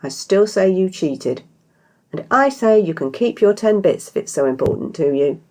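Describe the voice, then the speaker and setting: A woman's voice: on the low side, lively intonation, normal speed, dark tone, voice pushed up, natural voice. A woman reader plays a sardonic British woman who works at a fantasy inn. Her storybook voice lifts above the reader's own, dry and challenging. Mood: indignant.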